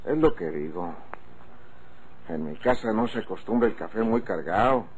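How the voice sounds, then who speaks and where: A man's voice: fairly low pitch, expressive, moderate pace, a little mellow, breathy edge. A man acting a chatty, wary rancher with a Mexican accent in a golden-age radio drama. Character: Don Otilio, chatty wary male rancher. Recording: faintly noisy old radio recording.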